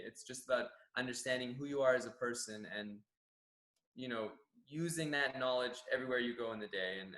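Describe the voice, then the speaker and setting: A young man from Canada speaks earnestly about his work, often restarting his sentences as he thinks. His voice is bright and forward at a middle pitch, and it rings in an echoey room. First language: Farsi. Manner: earnest, reflective, with frequent restarts. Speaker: gym general manager. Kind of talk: staff profile interview answers.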